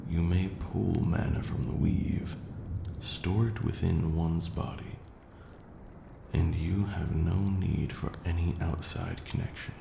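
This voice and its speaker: A man playing an ancient vampire lord in an intimate ASMR roleplay, haughty yet tender, speaking formally and with measured care in a deep, gravelly murmur. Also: fairly even intonation, exaggerated voice. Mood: lecturing, assured. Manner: measured, formal archaic diction, condescending yet doting. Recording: roomy echo, faint background noise.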